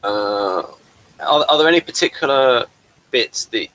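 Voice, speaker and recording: Guy hosting a chill chat, rambly, bright tone, keeps saying folks. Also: medium pitch, lively intonation, a little slow, poor audio. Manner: rambling, loose and chatty, addresses viewers as folks. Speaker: host and playwright. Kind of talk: casual conversational interview.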